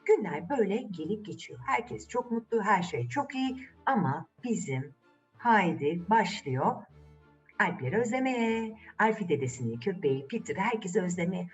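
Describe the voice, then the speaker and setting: Very animated woman telling children's tales. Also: medium pitch, normal speed, far from the mic, muffled recording, soft background music. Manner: addresses children directly with rhetorical questions. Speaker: children's storyteller. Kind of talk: animated, conversational fairy-tale storytelling.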